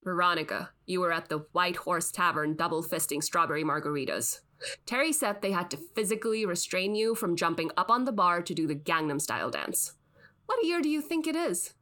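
A woman plays a skeptical female podcast host with a bright, crisp-edged tone and lively, varied pitch. She is the wry, exasperated straight woman in scripted comedy banter. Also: brisk. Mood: exasperated, defensive.

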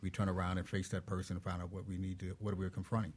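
A man with a low voice speaks as an expert guest on a talk show. He explains in a measured, philosophical way, pausing as he works through each thought. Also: monotone, darkish tone.

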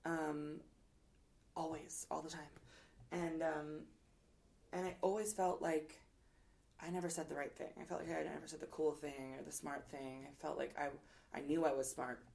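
A woman speaking frankly and at length in a casual interview, rambling with fillers and casual swearing, who says her voice is loud. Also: deep voice, level tone, normal speed, gravelly. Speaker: guest. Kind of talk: candid conversational interview.

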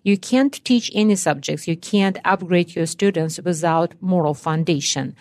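A woman's voice: on the low side, normal speed, a little mellow, no echo. A middle-aged woman from Russia with a Russian accent, earnestly explaining things as a guest in a radio studio interview. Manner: earnest, explanatory, non-native grammar. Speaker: guest, education scholar.

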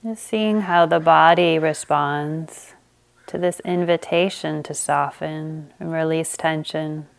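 Slow, gentle woman guiding meditation. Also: deep voice, steady tone, vocal fry. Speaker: meditation teacher. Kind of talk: guided meditation.

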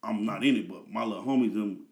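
A man in his thirties with a fairly low voice, chatting casually as an interview guest. He talks in a relaxed, slang-filled way, full of fillers. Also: quick pace, creaky. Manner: laid-back slang-heavy talk with frequent fillers.

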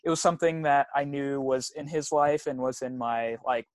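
A young man whose first language is Swahili, talking casually as a podcast guest, soft-spoken with many fillers. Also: medium pitch, normal speed, no echo, studio quality. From United States, raised partly in Tanzania. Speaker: guest runner.

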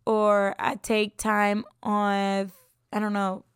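A young woman from Puerto Rico talks casually and cheerfully about herself, laughing often and now and then sinking into a whisper. Her voice is smooth and clear at a middle pitch. The sound is dry and close. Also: completely flat delivery, slow pace, no echo. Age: 20s. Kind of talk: casual self-introduction.

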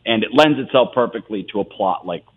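A tinny, phone-like recording of an American man in his thirties being interviewed. His voice sits a little low, and he explains things in an animated, earnest, conversational way. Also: a little fast, slightly rough. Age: 30s. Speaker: theater artist, interview guest.

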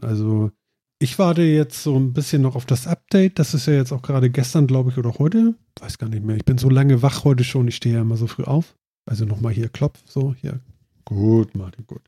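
A man's voice: medium pitch, fairly quick, warm tone, dry recording, studio quality. Nasal man chatting with a stuffed nose. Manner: rambling, many fillers. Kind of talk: casual chat.

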